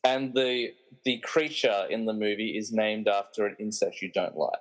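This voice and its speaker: A man's voice: fairly low pitch, normal speed, low-quality recording. A man narrating a comic podcast radio play and hosting its quiz with a tongue-in-cheek manner. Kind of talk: scripted comic narration with casual quiz banter.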